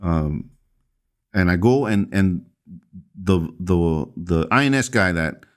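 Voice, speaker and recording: A man with a very low, deep voice tells his own story as a podcast guest. He speaks haltingly, pausing on fillers and restarting phrases as he goes. He is a pastor, born in El Salvador. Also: lively intonation.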